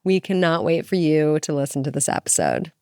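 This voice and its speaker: A woman's voice: low voice, sing-song, brisk, darkish tone, smooth, no room sound, clean studio sound. A woman co-hosting a podcast, chatty and enthusiastic in casual interview talk. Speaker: podcast co-host.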